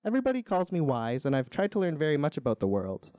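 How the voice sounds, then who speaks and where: A man's voice: a little high, wildly swooping pitch, fast, a little mellow, dry recording, pitched above the performer's own voice. Man reading as a wistful, self-doubting owl. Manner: tentative, rambling self-doubt.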